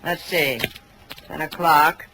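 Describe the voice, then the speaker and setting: A woman's voice: sits low, steady tone, takes it slowly, sharp tone, slight background noise, exaggerated voice, rough recording. An actress playing a prim, wealthy middle-aged stepmother, arch and dryly insinuating, in 1940s radio style. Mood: arch, disapproving.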